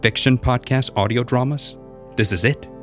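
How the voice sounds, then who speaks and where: A man narrating a personal story as a voice actor, in an expressive, dramatic storyteller's delivery. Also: mid-range voice, normal speed. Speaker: voice actor and content creator. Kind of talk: personal storytelling with numbered lessons. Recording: music behind the voice. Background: background music.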